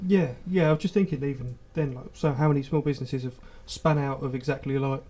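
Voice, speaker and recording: A man's voice: mid-range voice, fairly even intonation, warm tone. A man, hosting a podcast and asking questions in a casual chat. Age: 20s. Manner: quick, eager, lots of "yeah" backchannels.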